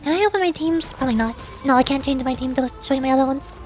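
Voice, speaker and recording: Female vtuber, high voice, playful gaming commentary, chirpy exclamations and silly noises, light music underneath. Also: rapid-fire, darkish tone. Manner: chirpy sound effects and exclamations. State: sore voice from hours of streaming. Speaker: livestreamer, vtuber. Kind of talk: playful gaming stream commentary, reading game text aloud.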